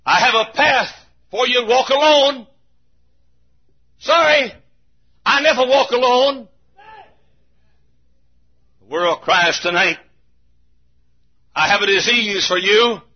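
An American man preaching a fervent revival sermon in a raspy, straining voice, impassioned and often shouting in a repetitive cadence. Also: takes it slowly, pauses often. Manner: impassioned, shouting, repetitive cadence, dramatic swings from low to loud. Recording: dull, muffled lo-fi sermon recording.

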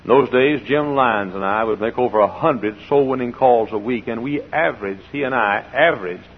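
An older man preaching a sermon from the pulpit with a froggy, gravelly voice, shifting between hollered exhortation and quiet storytelling and repeating phrases for emphasis. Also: medium pitch, moderate pace. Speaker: pastor. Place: large church sanctuary. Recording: dull old recording in a reverberant church.

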